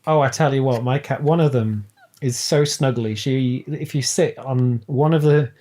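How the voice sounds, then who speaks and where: A man chatting casually as a podcast guest, playful and expressive. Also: medium pitch, moderate pace. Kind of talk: casual chat.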